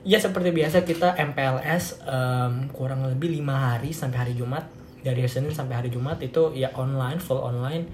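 A man's voice: medium pitch, a little fast, darkish tone. A teenage boy, hosting a casual solo podcast full of fillers and restarts. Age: teens. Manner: rambling, many fillers and restarts, laughs often. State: slightly hoarse. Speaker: podcast host. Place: home room with music playing. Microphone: home recording setup. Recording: echoey home room, faint noise.

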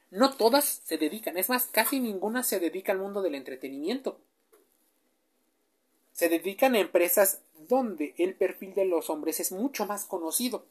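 A woman's voice: medium pitch, darkish tone. A woman, giving a chatty explainer and often asking the listener questions. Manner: chatty, asks the listener questions, with filler sounds. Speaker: presenter. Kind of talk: informal explainer speaking straight to the listener.

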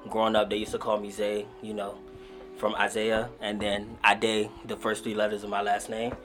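A man in his twenties from Nigeria, a guest rapper telling stories in relaxed, slangy casual talk. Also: fairly low pitch, normal speed, music in the background. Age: 20s. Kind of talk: casual podcast interview.

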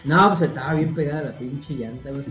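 A man's voice: mid-range voice, fairly quick, a bit bright, roomy sound, soft background music. A young man with a Mexican Spanish accent, co-hosting a podcast and telling a story in slangy, casual banter with friends. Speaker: podcast co-host.

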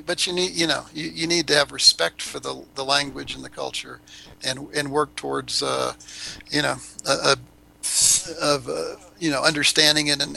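A man's voice: fairly high pitch, gravelly, pushed and bright, rough recording. An older man, a podcast guest, chatting casually and bluntly, rambling with restarts and the odd swear. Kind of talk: casual interview chat. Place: Ecuador.

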